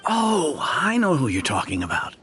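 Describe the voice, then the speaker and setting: A man's voice: a little high, huge pitch swings, normal speed, bright, forceful tone, faint music behind, heavily acted. An actor playing a friendly, talkative older village man in a game.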